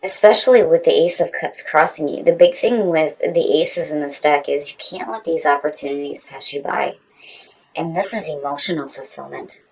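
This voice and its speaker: Female tarot reader, low pitch, casual conversational delivery, informal and emphatic. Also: normal speed, slightly rough, rough recording.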